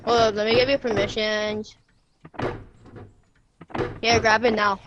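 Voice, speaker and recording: A woman's voice: a little high, a little slow, dull audio. A woman hosting a gaming video, chatting casually with a friend in a teasing, exasperated way. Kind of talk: casual gameplay chat. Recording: steady background noise and clicks.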